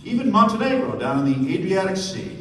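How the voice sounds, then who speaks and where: A man's voice: high-pitched, sing-song, brisk. A man playing a suave, courteous, wealthy American host with genteel phrasing in a stage radio play. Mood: earnest, confiding. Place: theater stage before an audience. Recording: echoey stage, distant mic, noisy room.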